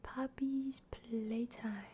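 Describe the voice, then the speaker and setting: A woman's voice: on the high side, steady tone, very slow, warm tone, a little hiss or hum. A woman game streamer chatting casually through live gameplay with wry, rambling asides.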